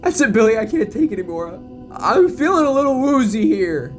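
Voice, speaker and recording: A man's voice: very high-pitched, sing-song, brisk, over music, pitched above the performer's own voice. A man voicing a wounded, manic young male killer's sidekick in an audio drama, whiny, slangy and pleading. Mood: woozy panic.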